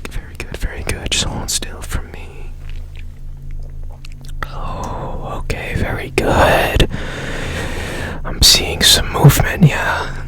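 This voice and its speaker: A man playing a strange, curious repairman in a whispered ASMR roleplay, breathy and gently reassuring with repeated soft phrases. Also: takes it slowly, long pauses. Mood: soothing, focused. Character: strange, curious, soothing male repairman of broken machines. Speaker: ASMR performer.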